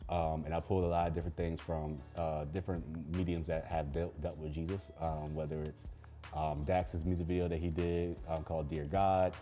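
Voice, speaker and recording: A young man from Baltimore who directs films and music videos, answering questions in a relaxed, laid-back way with many pauses and fillers. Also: very deep voice. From Baltimore, Maryland. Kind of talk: casual Q&A chat.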